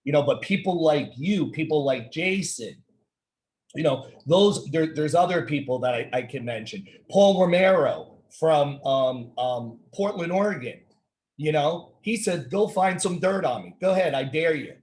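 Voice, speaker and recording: A man's voice: fairly high pitch, lively intonation, a little slow, a little mellow. Emphatic American man hosting a talk show. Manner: emphatic, repetitive rhetorical questions. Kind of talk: casual conversational interview.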